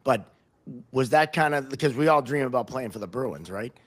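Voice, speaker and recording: A man's voice: mid-range voice, lively intonation, normal speed. A man hosting a podcast, asking informal, roundabout questions in a casual chat. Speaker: podcast host, interviewer.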